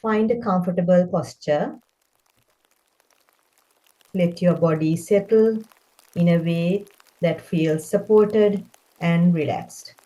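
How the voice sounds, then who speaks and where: A woman guides a meditation with a very mellow, relaxed tone. Her voice sits a little low, and she speaks very slowly. The delivery is gentle and calming, leaving space between phrases. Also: poor audio.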